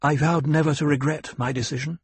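A man voicing a proud young noblewoman in an audiobook, tense and defensive, with a husky, gravelly tone. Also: a little high, fairly even intonation, fairly quick, no echo, pitched above the performer's own voice. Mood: defiant. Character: proud young noblewoman, defiant yet pleading.

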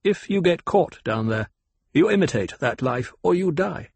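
A proud, guarded desert scientist played by a male narrator, pitched up high with a husky edge. He speaks formally and curtly, stressing his words stiffly, as a character in an audiobook. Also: animated, moderate pace, a little mellow, dry recording, exaggerated voice. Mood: intent, instructive. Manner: stiff, curt, formal, emphatic.

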